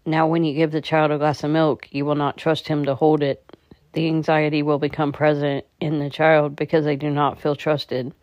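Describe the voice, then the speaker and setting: A woman leading a guided meditation in a calm, measured, soothing manner. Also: sits low, no echo, dull audio.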